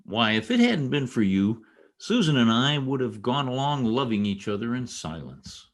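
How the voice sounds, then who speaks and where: A man's voice: mid-range voice, sing-song, moderate pace. A man acting a wry, scheming newspaper reporter in an old-time radio comedy, with a theatrical delivery. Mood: mock romantic.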